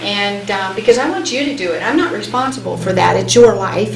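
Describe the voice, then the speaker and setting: A woman's voice: medium pitch, vocal fry, crowd noise. Earnest woman reading on a conference panel. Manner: earnest, inspirational, speeds up and slows for emphasis. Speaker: hypnosis panelist. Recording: roomy hall sound, faint hum.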